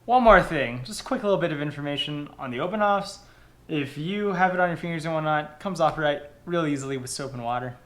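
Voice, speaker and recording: A man's voice: on the high side, fast. A young man hosting a casual how-to vlog from his kitchen table, chatty and repeating his words as he goes. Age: young adult. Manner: chatty, repeats words and phrases, lively delivery. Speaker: channel host. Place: kitchen table indoors, home. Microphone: camera across the table.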